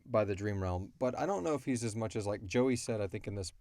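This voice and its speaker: A man with a fairly low voice hosts a casual podcast. He talks in a rambling, explanatory way, and the recording is dry with little room sound. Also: animated, a little fast, a little mellow, dry recording. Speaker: podcast host and show creator answering listener questions.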